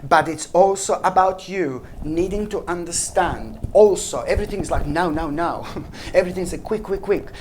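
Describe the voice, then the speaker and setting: A woman's voice: fairly low pitch, moderate pace, a little mellow, slight background noise, poor audio. A woman giving a weekly tarot reading, effusive and repetitive, calling the listener "my gorgeous" and "baby". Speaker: tarot reader.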